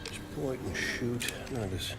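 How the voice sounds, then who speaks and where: A man plays a wry veteran soldier in a sci-fi game cutscene. He grumbles in a mid-pitched, colloquial voice, sardonic and uneasy. Music plays clearly behind him. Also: measured pace, natural voice. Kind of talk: video game cutscene acting.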